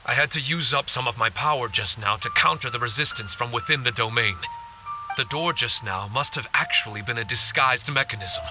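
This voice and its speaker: A man playing a tiny living paper spirit, earnest, formal and self-blaming, in an animated video game. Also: medium pitch, brisk, over music, lightly acted. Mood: weary, explaining.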